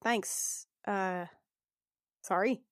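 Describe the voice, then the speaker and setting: A woman playing a tabletop roleplaying game, talking casually and haltingly, in and out of character. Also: medium pitch, fairly even intonation, no room sound, clean studio sound.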